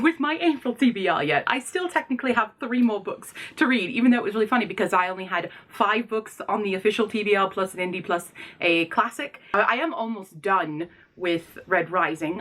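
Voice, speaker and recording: A woman's voice: medium pitch, a bit bright. A woman speaking casually and informally as a video host, effusive and opinionated in the way she reviews. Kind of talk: casual chatty book vlog.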